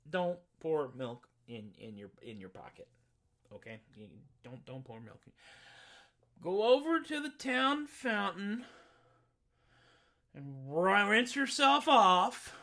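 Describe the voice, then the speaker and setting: A storyteller voicing the sheriff's daughter, a patient, weary young woman who talks slowly and coaxingly, as to a child. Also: mid-range voice, very animated, a bit bright, full character voice. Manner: slow, coaxing, talking to him as to a child.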